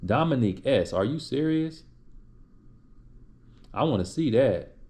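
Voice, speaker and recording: A man's voice: mid-range voice, animated, unhurried, warm tone. A man hosting a casual live stream, answering viewer chat in a loose, rambling way with many restarts. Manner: reads out and replies to chat names.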